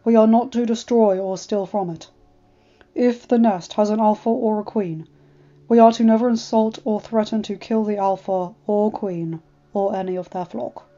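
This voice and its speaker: A woman's voice: mid-range voice, steady tone, normal speed, mellow, highs cut off, close to an everyday voice. A woman reading aloud and voicing a solemn, formal male dragon who speaks with grave deference. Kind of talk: fan fiction audiobook reading.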